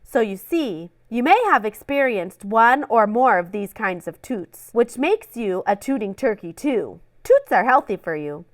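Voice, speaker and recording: A woman reads a children's storybook aloud, playful and animated, her fairly high voice swooping widely between lines. The recording is close and dry, with no room sound. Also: measured pace, few pauses, no room sound. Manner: playful and animated, with little acted asides. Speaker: storybook reader. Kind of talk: expressive children's book read-aloud.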